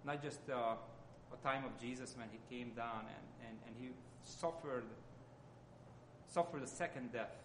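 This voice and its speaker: A man with a Hungarian accent gives an informal sermon as a lay church speaker, often halting as he searches for words. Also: medium pitch, noisy background.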